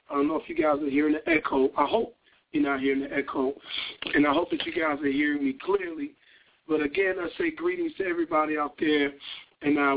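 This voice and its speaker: A hoarse man hosting a live talk radio show, greeting listeners in a casual, rambling way. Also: on the high side. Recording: tinny phone-line broadcast audio.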